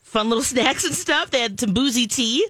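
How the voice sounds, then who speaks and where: Female co-host, 30s, relaxed conversational delivery, dry recording. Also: a little high, normal speed, no echo. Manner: relaxed, many fillers and laughs. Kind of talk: casual chat.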